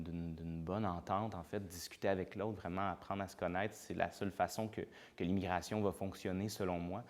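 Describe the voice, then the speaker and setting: A playwright talks about his work in an interview. His voice is very low. He speaks reflectively and hesitantly, with frequent fillers.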